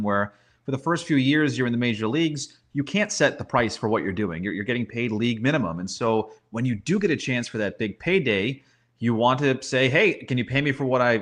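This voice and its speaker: Male guest sports analyst, medium pitch, conversational, explains by voicing imagined dialogue. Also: fairly bright tone.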